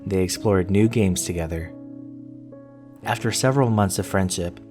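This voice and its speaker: A man reading a scripted first-person horror story in a deliberate storytelling manner. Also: deep voice, darkish tone. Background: plucked guitar music.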